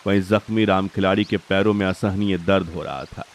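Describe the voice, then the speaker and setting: A man with a low voice narrates a scripted story in dramatic fashion. Noticeable rushing water and vehicle sounds sit behind his reading. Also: fairly even intonation, a little hiss or hum.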